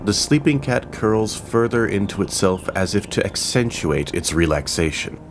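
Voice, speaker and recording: A man narrating a fantasy audio drama, reading with expressive, dramatic pacing. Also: fairly low pitch, moderate pace, soft background music. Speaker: storyteller.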